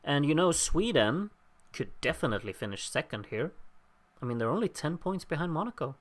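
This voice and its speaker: A man's voice: on the high side, sing-song, fairly quick. A man hosting a live stream, casually commenting on voting results and reading out viewer names with wry jokes, his voice a bit worn. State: losing his voice. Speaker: livestream host.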